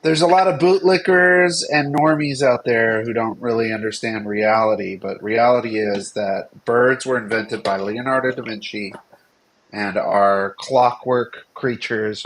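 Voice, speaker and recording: A man's voice: lowish voice, fairly even intonation, measured pace, a bit bright, slight background noise, low-quality recording. A middle-aged man co-hosting a casual livestream as a game designer, bantering in a dry, tongue-in-cheek way. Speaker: game designer and stream co-host.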